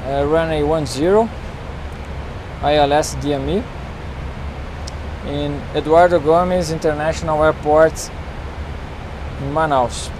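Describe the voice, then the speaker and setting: Slow, methodical co-pilot in cockpit noise. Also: male voice, a little high, fairly even intonation. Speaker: airline co-pilot. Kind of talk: explaining the approach. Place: airliner cockpit. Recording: noisy cockpit with engine hum.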